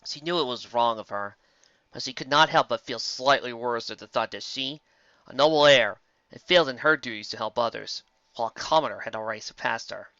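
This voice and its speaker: A reader narrating fan fiction aloud in an expressive storytelling manner. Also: mid-range voice, brisk, no echo, highs cut off.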